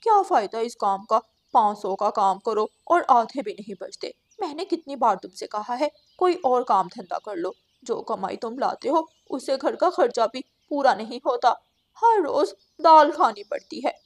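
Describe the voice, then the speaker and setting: Woman acting as female crow, scolding wife, high voice, very animated, dissatisfied, children's cartoon. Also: moderate pace. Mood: complaining. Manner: scolding, complaining. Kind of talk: Hindi children's cartoon dialogue.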